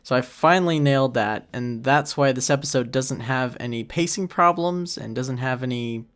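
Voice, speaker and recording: A man's voice: medium pitch, moderate pace, fairly bright tone, clear, resonant tone. A man giving casual, unscripted commentary as the creator of a series, rambling and thinking aloud. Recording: music behind the voice. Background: music and audio of the episode.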